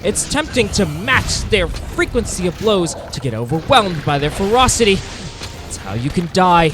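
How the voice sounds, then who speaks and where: A man narrating video game play in a theatrical, intense documentary style with deliberate phrasing, speaking as the hunter himself. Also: high-pitched, sing-song, music in the background. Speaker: gaming video narrator playing a monster hunter.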